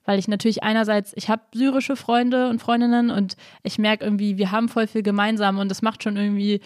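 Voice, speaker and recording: A woman in a close, dry recording, speaking at a medium pitch with a slight Berlin colouring. She talks casually and reflectively as an interview guest, using informal, youthful slang. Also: flat delivery, fairly quick, darkish tone, no room sound. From Berlin, Germany. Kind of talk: casual conversational interview, reflective personal talk.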